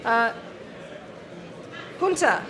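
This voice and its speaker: A woman's voice: on the high side, big pitch swings, very slow, crowd noise. A woman moderating a wine panel discussion, thoughtful and hesitant, with many fillers and restarts. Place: seminar room with live audience. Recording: echoey room with audience.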